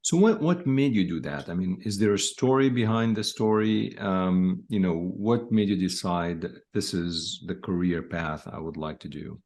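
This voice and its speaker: Male podcast host, low gravelly voice, conversational and slightly hesitant questioning. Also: normal speed, few pauses, darkish tone. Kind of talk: interview questions.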